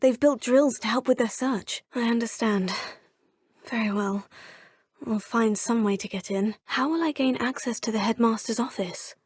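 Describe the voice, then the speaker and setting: A young woman voicing an earnest, polite witch student in a video game, with a slightly husky voice and formal phrasing. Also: on the high side, darkish tone, dry recording, studio quality. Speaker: player character student.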